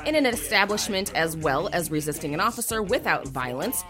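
A woman with a fairly low voice that swings widely in pitch, bantering loosely on a podcast and reading out news items. She swears freely and laughs often, with faint music under her talk. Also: lively intonation, fairly quick. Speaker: podcast co-host.

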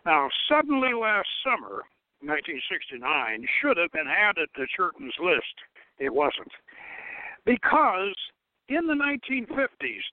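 An older man hosting a radio show, reviewing a book in a monologue that mixes scripted passages with halting asides. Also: a little high, no room sound, phone-quality sound.

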